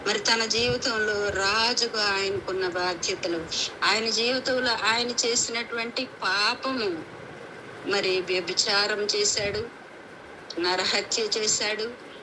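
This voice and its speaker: Woman preaching earnestly over a noisy call. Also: medium pitch. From India. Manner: earnest exhorting, repeats phrases. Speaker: preacher at a prayer meeting. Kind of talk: devotional sermon with scripture readings. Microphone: phone on a conference call. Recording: noisy conference call audio.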